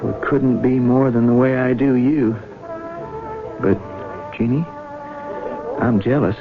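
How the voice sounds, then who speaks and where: An actor playing a gentle, quiet young farmer, a loving and jealous husband, in a 1970s radio drama. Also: male voice, medium pitch, fairly even intonation, slow pace. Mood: tender, then jealous, intense. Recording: tinny band-limited radio broadcast, music.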